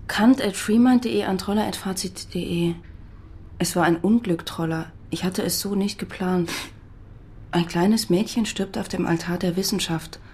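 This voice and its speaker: Actress as brisk, curious thirties journalist. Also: female voice, lowish voice, a little mellow, slight background noise, close to an everyday voice. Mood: reading aloud. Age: 30s. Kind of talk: naturalistic radio drama acting.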